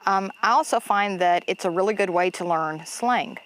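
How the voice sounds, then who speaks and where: A Spanish teacher from Chile whose first language is Spanish, speaking English with a Chilean accent in a chatty, laughing podcast conversation. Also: female voice, medium pitch, normal speed, fairly bright tone. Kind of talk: casual podcast chat.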